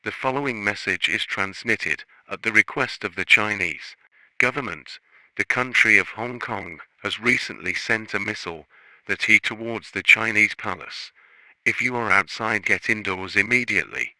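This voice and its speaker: A man's voice: fairly low pitch, normal speed, bright, forceful tone, no echo, rough recording. A man reading a scripted emergency broadcast message as an alert announcer.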